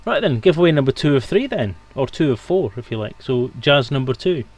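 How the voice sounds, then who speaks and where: A man's voice: mid-range voice, expressive, brisk, a little mellow. A man hosting a casual livestream, sweary and teasing as he talks. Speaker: stream host.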